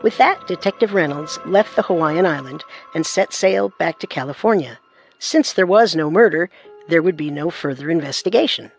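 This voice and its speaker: A woman's voice: on the low side, expressive, normal speed, faint music behind. A woman co-narrating a true-crime story in a scripted, suspenseful manner.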